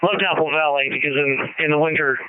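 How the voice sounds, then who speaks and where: A man's voice: fairly high pitch, steady tone, fairly quick. A man from Canada chatting easygoingly on the air, reminiscing with hesitant fillers. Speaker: ham radio operator. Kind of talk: casual on-air radio chat. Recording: hissy phone-like radio transmission.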